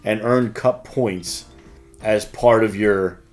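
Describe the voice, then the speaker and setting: A man's voice: on the low side, slow, soft background music. A man co-hosting a casual news show, bantering with a hesitant delivery and playful outbursts. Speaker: co-host of a game store news show.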